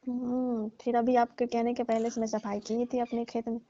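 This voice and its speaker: Higher-voiced woman on a muffled phone call. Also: flat delivery, moderate pace, warm tone, no room sound. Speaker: caller playing a farm owner. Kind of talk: casual comic phone conversation. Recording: dull lo-fi phone call recording.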